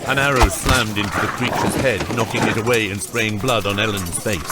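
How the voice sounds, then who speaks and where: A man giving measured, scripted dramatic narration as the narrator of an epic fantasy audiobook. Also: on the low side. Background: prominent music.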